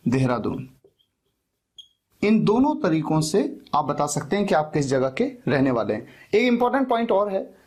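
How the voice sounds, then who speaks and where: A man teaching an English lesson for interviews. He dictates example phrases slowly and answers his own questions. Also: sits high, a little mellow. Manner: asks questions and answers them himself.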